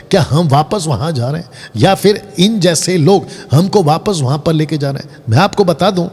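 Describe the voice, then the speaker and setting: A man's voice: fairly high pitch, a little mellow, breathy edge, big-room sound. A man preaching an online sermon in a conversational way, asking rhetorical questions and slipping English words into his talk. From India.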